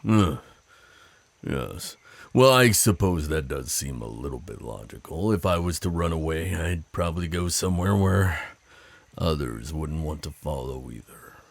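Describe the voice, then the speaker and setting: A man playing a burly, territorial werewolf in an ASMR roleplay, menacing and teasing, with a deep, gravelly, husky voice and a slow, taunting drawl. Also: lively intonation, no echo, heavily acted. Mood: smug, proud.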